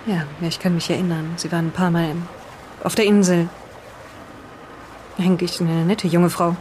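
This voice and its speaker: Someone voicing an earnest, defensive island resident in an improvised radio play, speaking deliberately as if reading aloud. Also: mid-range voice, little pitch movement, darkish tone, slight background noise, natural voice. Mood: hesitant recalling.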